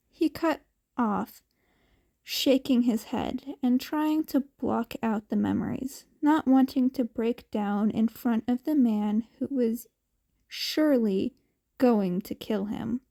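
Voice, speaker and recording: A woman's voice: fairly high pitch, slow pace, creaky, dark tone. A woman narrating scripted fan fiction as a podfic reader.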